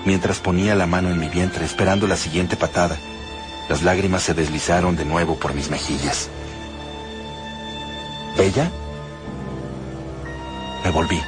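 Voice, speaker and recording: A man narrating an audiobook with measured, dramatic storytelling. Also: low-pitched. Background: music.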